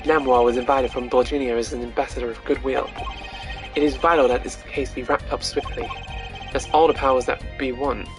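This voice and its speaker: A streamer voicing a cool, composed male prosecutor in a video game, measured and formal. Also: medium pitch, steady tone, music in the background, natural voice. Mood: serious. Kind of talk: amateur voice acting of a visual novel game.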